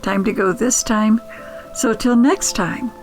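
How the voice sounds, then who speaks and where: A woman's voice: a little high, slow pace, a little mellow, faint music behind. An older woman hosting a storytelling podcast in a personal, conversational style.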